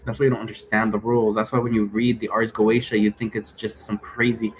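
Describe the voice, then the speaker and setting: A man's voice: lowish voice, little pitch movement, fast talker. Man rambling casually over background noise. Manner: rambling, loosely jumping between asides. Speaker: presenter explaining an occult role-play game. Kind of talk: casual unscripted explanatory talk. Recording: clearly noisy room recording.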